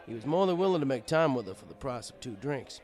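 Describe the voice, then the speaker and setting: A man's voice: mid-range voice, big pitch swings, fast, a little mellow. A man reading a first-person story aloud as narrator, in a conversational, wry storytelling style.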